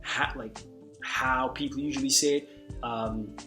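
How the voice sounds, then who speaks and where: A man whose first language is Hungarian talks through language-learning advice in a loose, unscripted way, circling back and restarting his sentences. His voice sits at an ordinary middle pitch. Faint guitar music plays quietly under him. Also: a little slow. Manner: relaxed, rambling, many restarts and fillers. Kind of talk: casual spoken advice.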